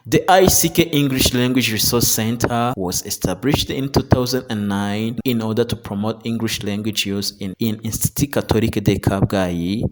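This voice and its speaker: A man reading a scripted news report as its narrator. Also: on the low side.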